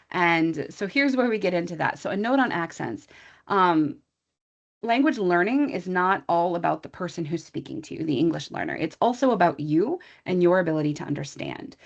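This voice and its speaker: A woman's voice: medium pitch, gravelly. Chatty American woman teacher on a dull call. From USA. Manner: chatty and informal, thinking aloud with asides to the audience. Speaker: English teacher presenting a training webinar. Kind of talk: conversational online presentation.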